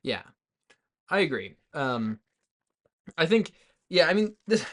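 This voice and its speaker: A man's voice: fairly high pitch, very animated, takes it slowly, a bit bright. A man co-hosting a podcast, chatting casually about movies in a loose, hesitant way with lots of "like". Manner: hesitant, loosely phrased, frequent "like". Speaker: podcast co-host.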